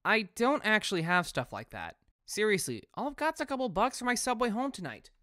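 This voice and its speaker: A woman playing an earnest, awkward teenage boy superhero in a comic dub, casual and expressive. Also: medium pitch, a little fast, no echo, studio quality. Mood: exasperated.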